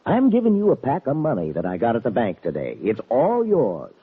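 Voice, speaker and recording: Scheming ranch villain, rough husky voice, folksy cowboy manner, radio drama, thin tinny vintage recording. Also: male voice, mid-range voice, huge pitch swings, a little fast, heavily acted. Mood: smooth, persuasive. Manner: folksy drawling cowboy talk, falsely fair. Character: hard, scheming Western villain, a fatherly-sounding ranch boss. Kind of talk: old-time Western radio drama acting. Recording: tinny, band-limited old radio recording.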